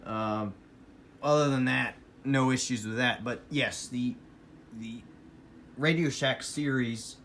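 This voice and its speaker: Casual American male hobbyist vlogger, mid-pitched, informal and enthusiastic, rambling delivery, noisy room recording. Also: takes it slowly, fairly bright tone. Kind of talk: casual rambling show-and-tell of a radio collection. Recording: noisy room with clear background noise.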